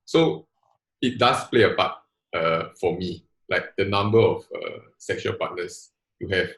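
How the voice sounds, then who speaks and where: A Singaporean man in his twenties with a lowish voice, working through his argument earnestly, restarting and dropping in local particles. The sound has a roomy echo. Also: a little slow, fairly bright tone. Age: 20s. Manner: earnest, thinks aloud with restarts and "lah" particles. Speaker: panel guest. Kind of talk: casual panel discussion.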